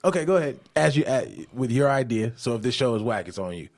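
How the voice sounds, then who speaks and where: Male podcast co-host, mid-pitched voice, blunt, opinionated, conversational. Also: expressive, fast talker, darkish tone. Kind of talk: casual pop-culture debate.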